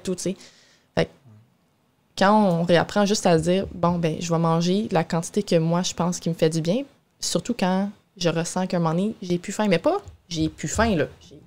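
Low-pitched young Québécoise explaining informally. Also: female voice, darkish tone. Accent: Québécois French. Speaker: guest nutritionist. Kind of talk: casual explanatory interview talk. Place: small radio studio, indoors. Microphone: boom-arm studio microphone with headphones.

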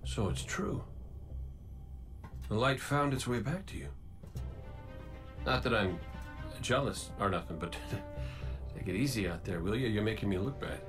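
Cocky robot gunslinger, sarcastic quips, lively swooping delivery, game cutscene. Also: male voice, fairly low pitch, music in the background. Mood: teasing banter. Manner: quick sarcastic quips, playful banter. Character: wisecracking male robot gunslinger, cocky and joking. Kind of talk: video game cutscene acting.

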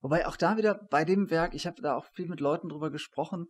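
A man's voice: fairly high pitch, quick pace. A man in his forties hosting an academic interview, putting long discursive questions with many qualifications, at a desk microphone indoors. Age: 40s. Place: small attic room, indoors. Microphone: studio microphone on desk.

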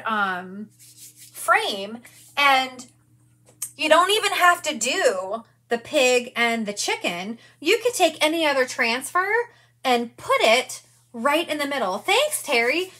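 A woman's voice: high-pitched, pushed and bright. A woman in her forties hosting a live craft demonstration from her studio, chatty and gushing, greeting viewers by name as she works.

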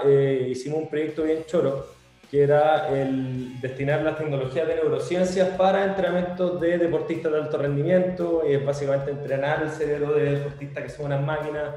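Young venezuelan guy w chilean accent, chill and chatty, slightly high voice, boomy room echo, faint music. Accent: Chilean Spanish. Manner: relaxed, chatty, many restarts and slang. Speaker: guest, startup founder. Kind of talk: casual interview chat. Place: home room on a video call.

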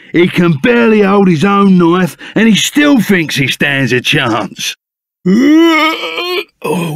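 Burly, blunt Cockney bandit for a game: high-set voice, slow drawling delivery, slangy and loyal. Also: male voice, gravelly, fairly bright tone, no room sound, studio quality, exaggerated voice. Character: burly, blustering, loyal bandit sidekick with rough manners. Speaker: video game voice actor. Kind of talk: animated video game character acting.

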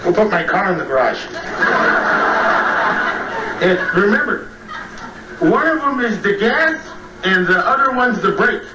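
An actor playing a jaded, boozy old children's storyteller on a TV comedy sketch, halting, slurred and muddled, often trailing off. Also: male voice, sits high, big pitch swings, far from the mic. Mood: boozy, indifferent. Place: TV studio living-room set. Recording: noisy, roomy old TV tape, off mic.

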